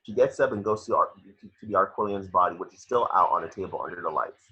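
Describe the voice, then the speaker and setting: Guy reading screenplay stage directions, lowish rough voice, kinda dull muffled audio. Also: quick pace, pushed and bright. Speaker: narrator reading action descriptions. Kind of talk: scripted narration for table read.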